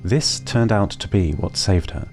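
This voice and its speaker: A man tells a scripted audio drama in a calm, measured manner. His voice sits fairly low, and faint music plays quietly under his words. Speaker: narrator.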